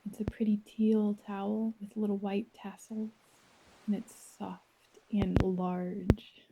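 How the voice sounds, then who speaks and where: A woman narrating a first-person guided visualization in a soft, breathy voice, gentle and dreamy, with long unhurried pauses. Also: medium pitch, flat delivery, muffled recording, a little hiss or hum.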